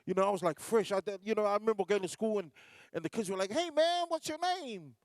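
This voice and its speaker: A man's voice: high-pitched, fairly even intonation, racing, no room sound, clean studio sound. A man from New Zealand performing live standup comedy as MC, telling stories with lots of fillers and restarts. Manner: storytelling with acted-out voices, many fillers and restarts. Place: large concert hall stage.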